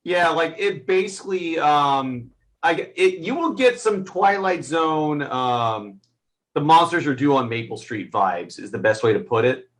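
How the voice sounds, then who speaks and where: A man in a casual, unscripted film review, speaking haltingly and groping for his words. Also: a little high, animated, fairly bright tone.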